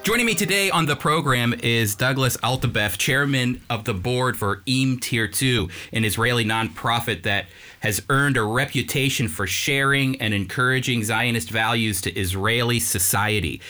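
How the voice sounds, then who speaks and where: Earnest, bright-voiced male radio host. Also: mid-range voice, moderate pace, no echo. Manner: earnest, with frequent restarts and fillers. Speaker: radio show host and teacher. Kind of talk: radio interview and commentary.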